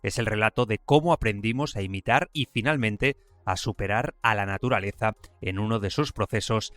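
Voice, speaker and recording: A man with a Spain accent hosting a podcast, reading educational narration in a clear expository way. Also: fairly low pitch, fairly bright tone, dry recording. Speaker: podcast host.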